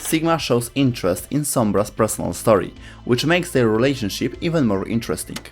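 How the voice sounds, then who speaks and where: A man narrates a scripted explainer video. His voice is of medium pitch. Music plays faintly behind him. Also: lively intonation, a little fast.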